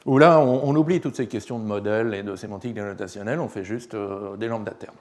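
A man giving a university lecture, slightly hoarse, hesitant with many fillers and restarts, often checking that students follow. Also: fairly low pitch, fast.

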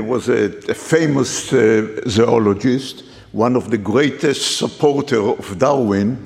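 Slow, halting man lecturing in a hall. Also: medium pitch, a little mellow. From Middle East. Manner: hesitant, halting, many fillers and restarts. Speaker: guest lecturer. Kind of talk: academic lecture with slides.

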